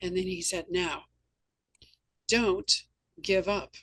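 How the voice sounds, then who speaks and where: A woman's voice: on the low side, fairly even intonation, slow, fairly bright tone, slight background noise. A woman hosting an interview, telling anecdotes in an earnest, emphatic conversational way. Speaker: host and novelist.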